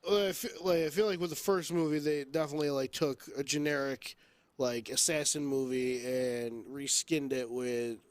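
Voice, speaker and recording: A man's voice: medium pitch, fairly even intonation, moderate pace, clear, resonant tone. A man co-hosting a podcast, giving blunt opinions in casual, slangy talk full of "like". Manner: slangy, blunt, heavy use of "like". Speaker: podcast co-host. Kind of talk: casual opinionated film chat.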